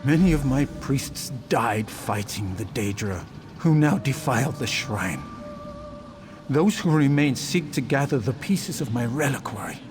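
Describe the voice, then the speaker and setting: An actor playing the fading spirit of an ancient saint in a video game, grave, solemn and noble. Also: male voice, medium pitch, expressive, measured pace, over music, lightly acted. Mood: solemn, weary.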